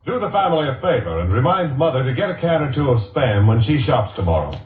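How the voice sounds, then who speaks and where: A man's voice: mid-range voice, sing-song, quick pace, distant mic, noisy background. An American man reading 1940s radio advertising copy with upbeat, emphatic salesmanship. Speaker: radio commercial announcer. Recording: boxy vintage radio recording, echoey, narrow band.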